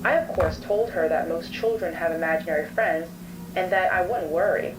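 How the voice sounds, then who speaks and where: A woman acting as a composed female therapist speaks in a fairly low voice, probing and narrating case notes for a horror story. The sound is distant, with clear noise behind it. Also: moderate pace, close to an everyday voice. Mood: composed, uneasy.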